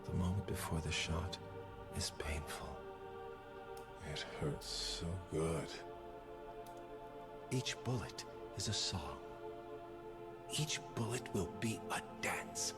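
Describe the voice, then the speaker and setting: An actor voicing a theatrical male assassin who treats killing as art, slow and grandiose, often whispering, in game voice lines. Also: animated, full character voice. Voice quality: deep gravelly voice. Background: music.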